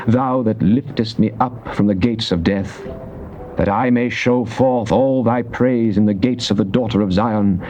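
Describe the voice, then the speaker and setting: A British actor playing a fervent psalmist in a dramatized King James Bible reading, declaiming solemnly and deliberately. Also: medium pitch, darkish tone, lightly acted. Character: psalmist, fervent man praying and praising God. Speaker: scripture reader. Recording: dull, noisy cassette tape transfer.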